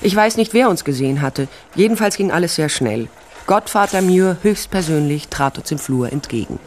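An actress playing a determined, wry private investigator, narrating a crime drama in the first person, dry and matter-of-fact. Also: female voice, lowish voice, lively intonation, moderate pace, darkish tone, slight background noise, natural voice. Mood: tense, matter-of-fact. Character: resolute, wry female private investigator narrating her case.

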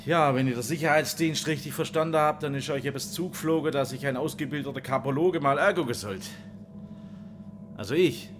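An actor playing a jovial, quirky fruit researcher, chatty and teasing, in a radio drama. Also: male voice, on the high side, fairly quick, music in the background, close to an everyday voice. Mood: friendly, welcoming. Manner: chatty, folksy, teasing, tag questions. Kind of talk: German radio drama acting.

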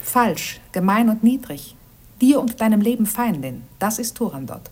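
Intense schemer in radio drama, husky voice, urgent and dramatic, kinda conspiratorial. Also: female voice, medium pitch, big pitch swings, moderate pace, darkish tone. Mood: ominous. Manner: intense, urgent, dropping to whispers. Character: scheming, passionate woman urging a prince to flee. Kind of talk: declamatory German radio play acting.

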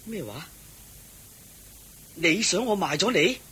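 A man's voice: sits high, expressive, slow pace, a bit bright, breathy edge, exaggerated voice. A man playing a poor, meek, sickly husband in a Cantonese radio drama, polite, bewildered and pleading. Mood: hurt, pleading.

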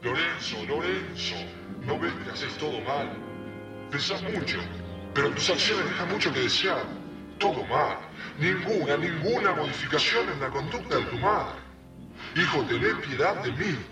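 A man's voice: on the high side, animated, unhurried. An actor playing a dead father's reproachful ghost in a radio drama, with an Argentine accent and theatrical, scolding delivery. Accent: Argentine, Rioplatense. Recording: echoey, distant, music behind.

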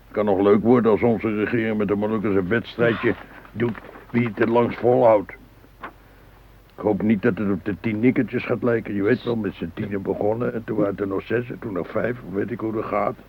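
An actor playing a blunt, cynical middle-aged husband in a naturalistic radio drama, gruff, sarcastic and complaining, with a husky voice. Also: male voice, lowish voice, fairly even intonation, moderate pace, lightly acted. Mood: sarcastic, grumbling. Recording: phone-like, narrow radio recording.

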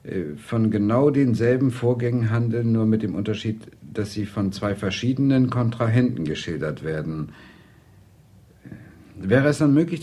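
An actor playing an inquisitive, thoughtful visiting lecturer in a radio play, asking questions in a hesitant, tentative way. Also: male voice, fairly low pitch, mellow, husky. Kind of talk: naturalistic radio drama dialogue.

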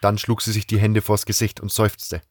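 Male narrator, low voice, scripted fantasy storytelling, first person, soft music behind. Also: completely flat delivery, normal speed. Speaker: narrator of a fan audio drama.

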